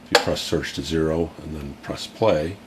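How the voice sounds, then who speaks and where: A middle-aged man casually demonstrating a tape deck at home, explaining in a relaxed way with plenty of fillers. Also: rumbling low, moderate pace, heavy background noise.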